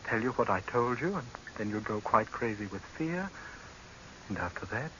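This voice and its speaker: An actor playing a resigned, bitter doctor turned would-be assassin, in a terse, dramatic 1930s radio acting style. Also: male voice, on the low side, animated, moderate pace, natural voice. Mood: grim confession. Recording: muffled, hissy 1930s radio recording.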